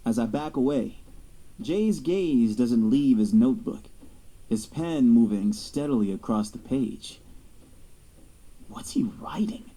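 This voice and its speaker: A man's voice: medium pitch, lively intonation, takes it slowly, long pauses, warm tone, rough recording. An actor playing a young male athlete, guarded and curious, narrating his own story in an audio drama. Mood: wary. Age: young adult. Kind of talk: first-person audio drama narration and dialogue.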